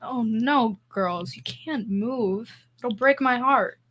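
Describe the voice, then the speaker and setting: A woman's voice: on the high side, slow, a bit bright. A schoolgirl as a plaintive maestro, faintly noisy recording. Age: middle school student. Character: meek, mournful, penniless male maestro neighbor. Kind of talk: old-time radio comedy acting. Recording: faint noise, room ambience.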